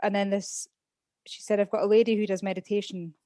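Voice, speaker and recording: A woman with a Scottish accent, a podcast guest talking openly about her life and pausing often with "um" as she thinks. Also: medium pitch, little pitch movement, mellow, no room sound, studio quality. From Scotland. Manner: open, chatty, many "um"s while thinking. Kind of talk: casual interview chat.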